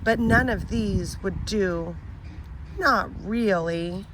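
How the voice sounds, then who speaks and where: Slow, bright teacher reading to kids. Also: female voice, medium pitch, slight background noise, rough recording. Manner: slow and expressive, asks the listener questions. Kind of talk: children's read-aloud story.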